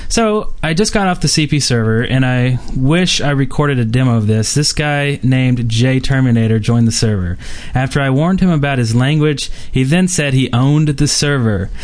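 A man hosting a gaming podcast in casual, informal, rambling chat. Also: mid-range voice. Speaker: podcast host.

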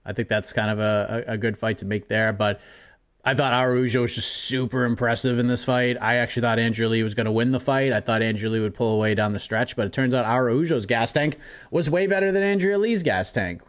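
Man, mid-pitch voice, quick opinionated podcast co-host. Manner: quick, opinionated fight talk. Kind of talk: casual chat.

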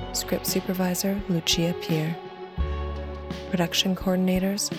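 A woman reading end credits in an even, measured way. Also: on the low side. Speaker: credits announcer.

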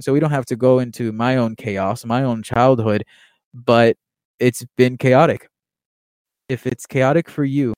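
A young man from Miami, Florida, talking earnestly and quickly in a casual monologue, with plenty of fillers and restarts. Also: mid-range voice, darkish tone, no room sound. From Miami, Florida, USA. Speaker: podcast host and baseball writer.

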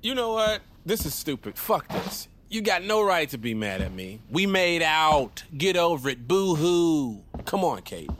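A man's voice: fairly high pitch, a little slow, hardly any pauses, slight background noise. An actor playing an awkward, flustered young man in an audio comedy drama, earnest and defensive with a stammering, hesitant delivery. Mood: indignant. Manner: stammering, hesitant, excitable when provoked.

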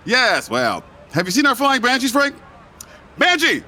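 An actor playing a boastful, excitable Broadway producer in an audio drama, recorded amid loud street noise. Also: male voice, very high-pitched, measured pace, full character voice. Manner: bragging, excitable. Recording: loud outdoor city noise.

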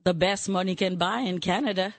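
A woman's voice: lowish voice, fairly even intonation, fairly quick. A woman, a studio guest speaking emphatically and indignantly. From Haiti. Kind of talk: casual conversation.

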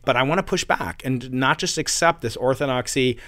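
A man talks through his anecdotes with animated energy, pushing back on ideas as a guest in an interview. His voice sits in a middle register, and the recording is dry and close. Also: medium pitch, brisk, dry recording. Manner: animated, storytelling, pushes back. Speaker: physicist guest. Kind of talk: conversational interview. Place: university building.

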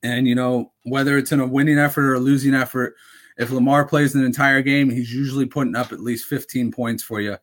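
Casting: young male podcast host, casual rambling sports talk, slightly raspy, mid-pitched. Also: steady tone, a little fast. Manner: rambling, full of fillers and restarts. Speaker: fantasy football podcast host.

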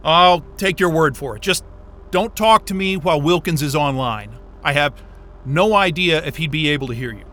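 An actor playing a stubborn, frayed, sardonic astrophysicist in a naturalistic sci-fi audio drama, talking in a profane, wry, agitated way. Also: male voice, fairly high pitch, moderate pace, sharp tone.

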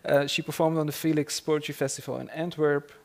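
A man moderating a literary event, introducing a guest in a halting way. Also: fairly high pitch, steady tone, gravelly. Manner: halting, with fillers.

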